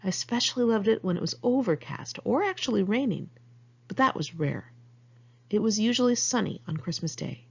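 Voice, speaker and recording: A woman's voice: mid-range voice, lively intonation, brisk, gravelly, a little mellow, breathy edge, muffled recording. An American woman reading her own memoir aloud in a candid, conversational, sardonic way. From United States, Los Angeles area. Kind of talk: first-person memoir narration, read aloud in one take.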